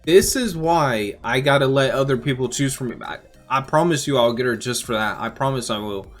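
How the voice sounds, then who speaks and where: A man giving casual game reaction commentary as a YouTuber, talking informally and rambling as he thinks out loud. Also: mid-range voice, fairly quick. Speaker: gaming YouTuber. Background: music.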